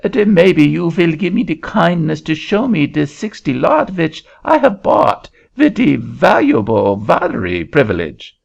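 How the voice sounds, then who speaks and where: A shrill, sputtering Frenchman. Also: very animated, measured pace, vocal fry, few pauses, heavily acted. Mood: polite, puzzled. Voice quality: shrill, sputtering broken English. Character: excitable middle-aged Frenchman swindled in land deal. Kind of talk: audiobook reading. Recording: dull lo-fi recording.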